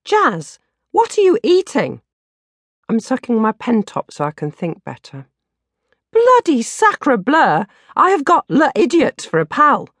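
A woman's voice: high voice, wildly swooping pitch, a little slow, slightly rough, no echo, clean studio sound, voice pushed up, exaggerated voice. A woman voicing scatty, bickering teenage girls in a comic audiobook, with an exclamatory manner. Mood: exasperated, silly. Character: scatty teenage girl best pals bickering.

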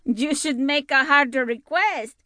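A woman playing a spirited, sharp-tongued cook and housekeeper with a Spanish accent in an audio drama. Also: high-pitched, measured pace, no room sound, clean studio sound. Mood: brisk, friendly. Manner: teasing, feisty, mixes Spanish words into speech. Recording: music behind the voice.

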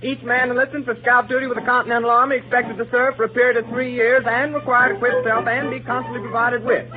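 Casting: formal, dutiful military quartermaster, extremely high voice, muffled vintage radio. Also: male voice, flat delivery, fast talker. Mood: official. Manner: formal rattled-off list reading. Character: dutiful army quartermaster reciting orders. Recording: muffled vintage radio broadcast, faint music.

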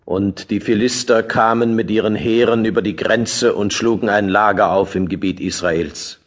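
A man's voice: lowish voice, fairly even intonation, normal speed, close to an everyday voice. A man narrating a biblical radio play as a solemn, scripted storyteller.

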